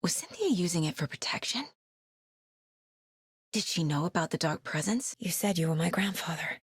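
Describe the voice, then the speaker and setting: A female fed investigator for a game, husky lower voice, focused n understated, super dry studio sound. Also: animated, normal speed, dry recording. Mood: thoughtful, focused. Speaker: voice actress in a video game. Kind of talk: video game character voice lines.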